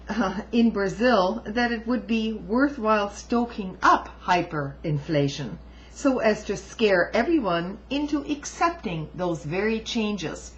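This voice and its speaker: Female commentator reading aloud, very unhurried and deliberate, emphatic asides, dull home recording. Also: lowish voice, slight background noise. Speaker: amateur book reviewer and commentator.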